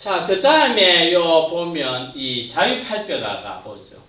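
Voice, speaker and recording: A man's voice: fairly high pitch, sing-song, slow pace. A man giving an anatomy lecture, pointing at slides and naming parts, his voice dropping at the end of phrases. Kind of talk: classroom lecture. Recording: roomy, distant, muffled lecture capture.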